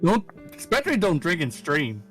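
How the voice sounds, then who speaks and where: A man with a voice a little higher than usual, chatting casually as a stream co-performer. Faint music runs behind him. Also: huge pitch swings, moderate pace, a bit bright. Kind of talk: casual chat. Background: background music.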